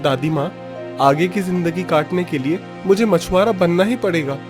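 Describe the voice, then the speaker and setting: A man's voice: high voice, normal speed, over music, close to an everyday voice. A man voicing a young fisherman's son in a cartoon story, emotional and pleading. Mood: determined.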